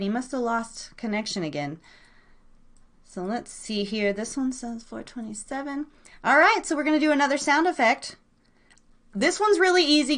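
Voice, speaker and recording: A woman with an excitable, informal manner, chatting casually as the live host of a guessing game and cheering on players in slangy language. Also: fairly high pitch. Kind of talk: casual live radio game-show chat.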